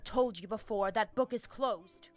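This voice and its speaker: A woman's voice: medium pitch, measured pace, no room sound, lightly acted. An actress playing a proud, zealous female vampire pack leader in a video game, speaking in a solemn, grandiose, threatening manner. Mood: cold menace. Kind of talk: video game character dialogue. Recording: music behind the voice.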